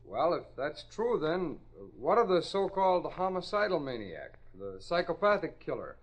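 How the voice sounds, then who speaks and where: A man's voice: a little high, big pitch swings, moderate pace, low-quality recording. An American man playing an earnest, inquisitive graduate student in a 1950s radio drama, polite and studied. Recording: vintage radio broadcast.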